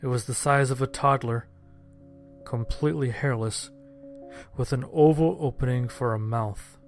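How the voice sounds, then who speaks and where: A man narrating a scripted first-person horror-comedy story in a deadpan, sardonic manner. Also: mid-range voice, moderate pace, faint music behind.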